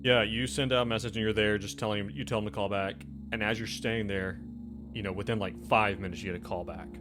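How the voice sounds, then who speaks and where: Lowish-voiced male game master. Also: steady tone, fast talker, over music. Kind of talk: casual tabletop game narration.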